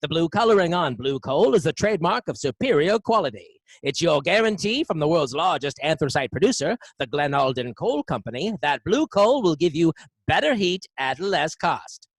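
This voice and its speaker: Man as high-voiced vintage radio announcer. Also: sing-song, normal speed, no echo. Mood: earnest, selling. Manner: formal, emphatic sales pitch. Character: earnest male 1930s radio sponsor announcer. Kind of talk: old-time radio advertising read.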